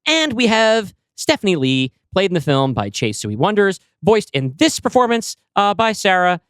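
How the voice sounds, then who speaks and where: A man with a slightly high voice co-hosts a podcast in casual talk. His pitch is lively, and he sounds dry and close. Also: normal speed, clear, resonant tone, no room sound. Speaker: podcast co-host.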